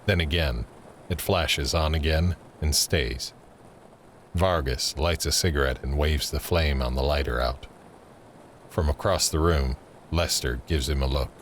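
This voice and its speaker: A man's voice: a bass voice, unhurried. A man reading scripted, screenplay-like narration for an audio drama. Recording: faint ambient noise under narration.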